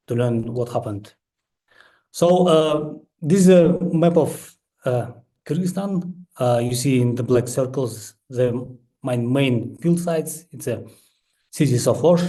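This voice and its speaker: Halting, mellow non-native English-speaking man. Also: medium pitch. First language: not English. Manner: halting, frequent hesitations and pauses. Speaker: researcher and book author presenting his study. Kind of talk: academic presentation with slides.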